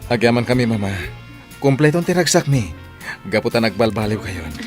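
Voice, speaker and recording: An actor playing an earnest, grateful grown son about to marry, in a radio drama. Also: male voice, mid-range voice, animated, brisk, close to an everyday voice. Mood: happy. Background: faint music.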